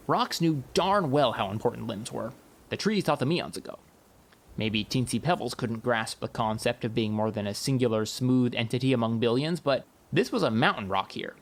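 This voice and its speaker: A man narrating a first-person comic fantasy audiobook in a wry, expressive storytelling manner. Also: medium pitch, gravelly.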